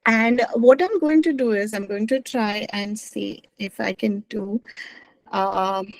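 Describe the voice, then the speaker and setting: A woman's voice: mid-range voice, takes it slowly, no echo, rough recording. Middle-aged woman, native Gujarati speaker, academic guest lecturer, dry video-call sound, meandering delivery. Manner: rambling, self-correcting, apologetic. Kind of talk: online presentation.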